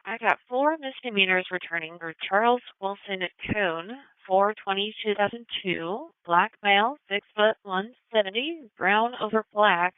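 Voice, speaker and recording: A woman's voice: mid-range voice, normal speed, hardly any pauses, smooth, dry recording, narrow, tinny audio. A woman working as a police dispatcher, speaking in clipped, procedural radio calls over a scanner feed. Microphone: two-way radio dispatch mic. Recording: thin band-limited police scanner radio.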